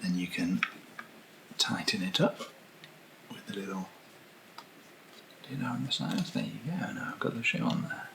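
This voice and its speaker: A British man speaking in a soft, breathy near-whisper as he hosts a casual ASMR video, gentle and unhurried, close to the microphone. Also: on the low side, animated, noisy background. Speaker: ASMR video host reviewing camera gear. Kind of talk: soft-spoken ASMR, casual unboxing chat.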